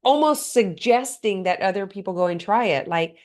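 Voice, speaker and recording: Woman, podcast host, motivational solo talk, earnest, slightly hoarse and congested. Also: medium pitch, lively intonation, moderate pace, a little mellow. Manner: earnest, questioning the listener directly.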